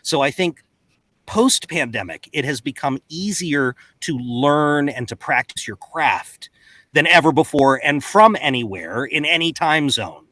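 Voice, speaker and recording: A man's voice: on the high side, measured pace, no room sound. A man chatting casually and expressively as a voice actor on a panel. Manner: animated, expressive. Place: home recording booth, on a video call. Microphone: close studio microphone.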